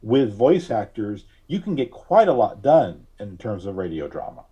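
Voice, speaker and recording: A man giving casual interview answers as a podcast guest, with hesitant, measured phrasing. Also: lowish voice, very animated, warm tone, breathy edge, muffled recording. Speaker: podcast guest, writer and filmmaker.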